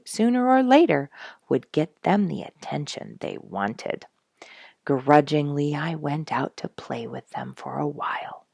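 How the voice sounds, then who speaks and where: Woman storyteller, low and very creaky, expressive children's narration with deliberate dramatic emphasis. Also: no echo, studio quality. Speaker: storyteller and audiobook reader, program host.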